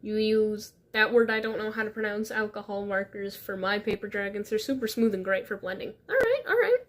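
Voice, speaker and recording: A woman's voice: medium pitch, animated, brisk. A woman streaming while crafting, reading chat questions aloud and answering casually, a little stuffed up and sniffly. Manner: reads chat questions aloud, chatty and laughing.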